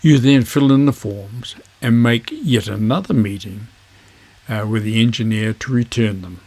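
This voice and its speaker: A man with a husky voice, presenting an instructional vlog in measured phrases. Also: on the low side, a little mellow. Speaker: amateur radio video host.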